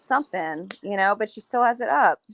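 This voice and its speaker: Slightly raspy woman co-hosting. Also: medium pitch, expressive, brisk, a bit bright, poor audio. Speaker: co-host. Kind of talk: casual livestream chat.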